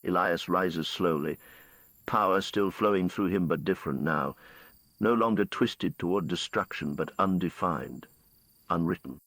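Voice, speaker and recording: A male storyteller with a low voice reads a scripted bedtime story. His delivery is measured and dramatic. The recording is dry, with little room sound. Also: a bit bright, no echo.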